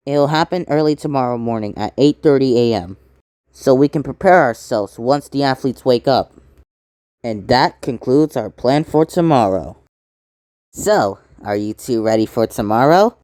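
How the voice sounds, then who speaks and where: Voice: medium pitch, animated, moderate pace, a little mellow, no echo, studio quality. A person doing amateur cartoon voice acting in a theatrical way, switching between characters.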